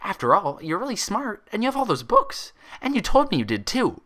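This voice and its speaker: A man's voice: high-pitched, wildly swooping pitch, a little fast, bright, forceful tone, pitched above the performer's own voice, exaggerated voice. A man voicing an eager, childish female cartoon pony in a story reading, with a bouncy, naive, exaggerated manner. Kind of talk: dramatized fan fiction reading.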